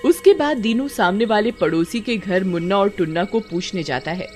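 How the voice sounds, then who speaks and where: A woman narrates an animated horror tale from a script. Her voice sits at a middle pitch, with music underneath. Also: normal speed. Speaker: story narrator.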